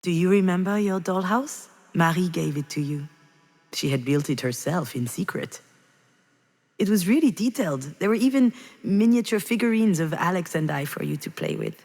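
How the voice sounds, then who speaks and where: Sad guilty mom in a story game, deep female voice, halting and confiding, big echoey reverb. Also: sing-song, darkish tone. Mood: fond, wistful. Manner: halting, confessional, addressing someone directly. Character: grieving, guilt-ridden mother confiding in her lost child. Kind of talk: dramatic video game voice acting, intimate monologue.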